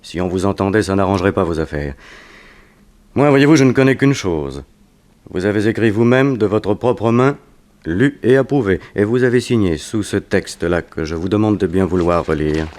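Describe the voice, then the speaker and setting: An actor playing a smooth-talking, menacing blackmailer with an urbane, insistent manner, in a 1960s French radio drama. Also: male voice, deep voice, expressive, normal speed, darkish tone. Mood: pressing, threatening. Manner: urbane, insistent, coolly mocking.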